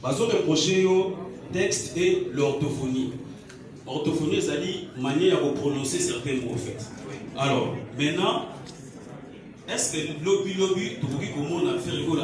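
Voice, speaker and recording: Rambling journalist in an echoey hall. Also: male voice, on the high side, normal speed, crowd noise. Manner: rambling, hesitant. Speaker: journalist asking a question. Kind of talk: press conference question. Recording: echoey hall, heard from far off.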